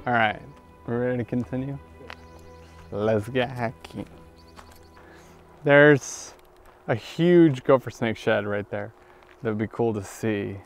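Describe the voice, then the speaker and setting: Creaky-voiced young man, casual vlogger. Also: mid-range voice, slow pace, pauses often, soft background music. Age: 20s. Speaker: vlog host and reptile enthusiast. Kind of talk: casual outdoor vlog chat. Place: outdoors in open fields, some in a parked car. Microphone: clip-on lapel mic.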